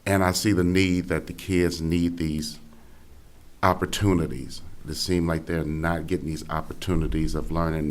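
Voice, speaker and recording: A man from Gary, Indiana, speaks in a very low, raspy voice that is hoarse from cheering. He addresses a forum audience as a school board candidate. He talks earnestly, stopping and restarting his sentences.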